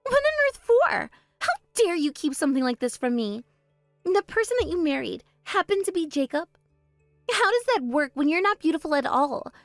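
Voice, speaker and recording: A bratty younger sister who brags and sneers, her high voice leaping all over the place, as a woman acts her out. The recording is dry and close, with no room sound. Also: big pitch swings, moderate pace, dry recording, soft background music. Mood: indignant. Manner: mocking, spiteful bragging. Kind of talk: voice drama, one performer.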